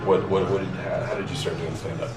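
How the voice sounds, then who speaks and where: A man hosting a casual interview, asking questions in an informal, halting way. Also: low-pitched, little pitch movement. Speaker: interviewer and host. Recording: echoey, distant mic, loud music behind.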